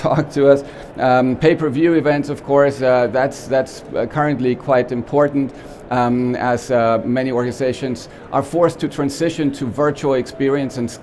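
A man in his forties from Austria, with an Austrian German accent, giving a lively, joking trade-show talk full of fillers and restarts, on a small expo stage. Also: medium pitch, a little hiss or hum. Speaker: company CEO giving a conference talk.

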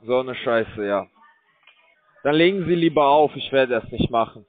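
A man calling from a call center, rambling in a bored, crude, halting way. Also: mid-range voice, no echo, soft background music. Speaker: call center scam caller. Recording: dry tinny phone line.

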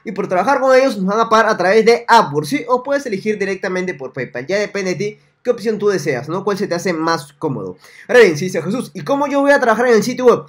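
A young man in a small room, quickly pitching a way to earn money online in a repetitive, salesy tutorial straight to the viewer. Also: animated. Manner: fast, salesy, repetitive, addresses viewer directly. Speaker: online money-making vlogger. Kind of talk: screen-recorded tutorial with webcam.

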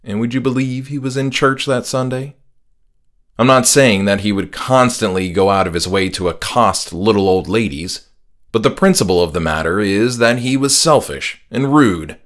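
Low-pitched man narrating horror fiction. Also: moderate pace, a bit bright. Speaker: author and audiobook narrator. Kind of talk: scripted first-person horror narration.

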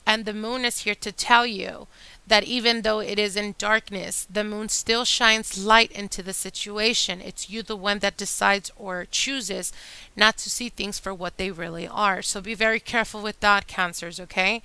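A woman reading tarot cards for her channel, chatty and addressing viewers directly, her voice a little hoarse from a long day. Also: medium pitch, fairly even intonation, moderate pace, no room sound, rough recording. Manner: chatty, warm address to viewers, whispers during shuffling. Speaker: tarot reader. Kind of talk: casual tarot reading monologue. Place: indoor table with candles and decor.

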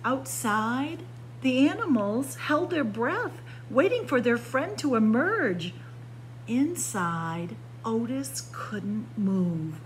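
A woman reading a children's picture book aloud as a story time narrator, with expressive, dramatic phrasing. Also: slow, poor audio. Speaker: library story time reader. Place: indoors by bookshelves. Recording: clear background noise with low hum.

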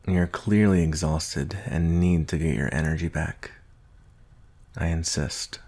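A man plays a male werewolf bounty hunter in an intimate ASMR roleplay. His voice is extremely deep with a gravelly fry, and he takes his time. He sounds gruff but tender, talking softly and gently with hesitant pauses. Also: fairly even intonation, heavily acted. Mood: gentle, soothing. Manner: slow, gentle, soft-spoken, hesitant pauses.

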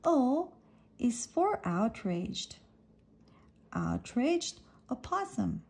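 A woman reading an alphabet picture book aloud for children, deliberately setting apart each letter and phrase. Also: fairly high pitch, big pitch swings, gravelly, a little mellow, slightly rough.

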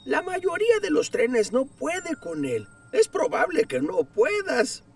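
An actor voicing a friendly, steady male engine in a cartoon dub, speaking earnestly and mildly. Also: very high-pitched, takes it slowly, distant mic, heavily acted.

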